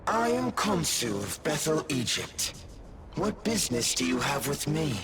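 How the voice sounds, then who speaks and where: Casting: regal guardian god, very slow, haughty delivery with wide swings, noisy ambience. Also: male voice, fairly high pitch, very animated, creaky, full character voice. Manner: formal, measured, haughty. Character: stern, regal male deity, coolly condescending guardian. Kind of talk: anime-style video game voice acting.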